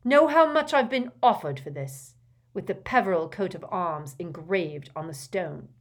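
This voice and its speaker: A woman's voice: lowish voice, lively intonation, a little slow. A woman voicing a raging, contemptuous middle-aged wizard father who bellows and jeers in an audiobook. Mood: outraged. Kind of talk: dramatised audiobook character voice.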